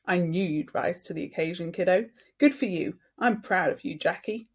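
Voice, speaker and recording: A firm storybook mum of two boys, as a woman reader plays her. She speaks earnestly and emphatically, with a slightly husky voice. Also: fairly low pitch, normal speed. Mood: proud.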